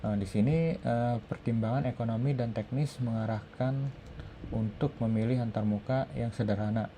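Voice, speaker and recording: A man with an Indonesian accent reads out an academic article review in a halting, hesitant way. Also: medium pitch, steady tone, mellow, slight background noise. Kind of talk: scripted academic presentation, read aloud.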